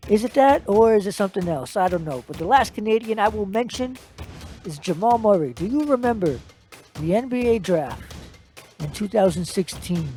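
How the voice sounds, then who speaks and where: A man's voice: sits high, lively intonation, normal speed, mellow, soft background music. A man hosting his own sports podcast alone, talking casually and running through lists of players. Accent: American. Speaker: podcast host. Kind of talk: solo podcast commentary.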